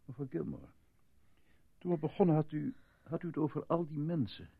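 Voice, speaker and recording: An actor in a radio drama playing a calm, probing male questioner who speaks in a measured, formal way. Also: fairly high pitch, creaky, breathy edge, dry recording. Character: calm, probing male questioner, smoothly steering a witness's story. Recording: tinny, phone-like band, dry sound.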